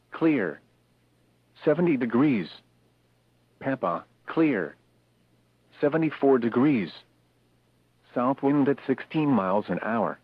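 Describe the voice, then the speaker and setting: Slow synthetic male voice on tinny radio. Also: mid-range voice, slightly rough, no echo. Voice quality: synthetic text-to-speech voice. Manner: measured, clipped, impersonal readout of short phrases. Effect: radio broadcast. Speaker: automated weather radio announcer. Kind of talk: scripted weather bulletin readout. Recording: tinny, narrowband weather radio broadcast.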